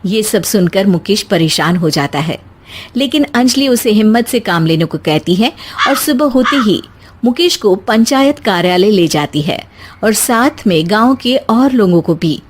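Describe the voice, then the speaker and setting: A woman's voice: mid-range voice, expressive, unhurried, slight background noise. A woman storyteller reading a scripted moral tale as narration.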